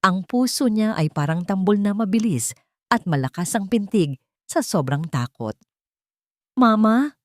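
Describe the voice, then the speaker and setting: A woman's voice: medium pitch, animated, moderate pace, a little mellow, no echo, studio quality. A woman reading a scripted story as a dramatic narrator.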